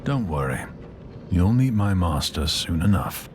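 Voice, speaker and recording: An actor playing a cryptic, composed servant in a video game, with an enigmatic delivery. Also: male voice, low-pitched, sing-song, a little slow. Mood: mysterious, composed. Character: cryptic, composed male stranger, a loyal servant. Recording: clear background ambience noise.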